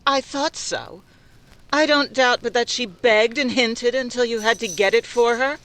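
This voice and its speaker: A woman's voice: on the high side, measured pace, rough recording. A woman playing a jealous, bossy young fiancée in 1940s radio drama style, imperious and theatrical in manner. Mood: scornful. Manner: sharp, imperious, scornful.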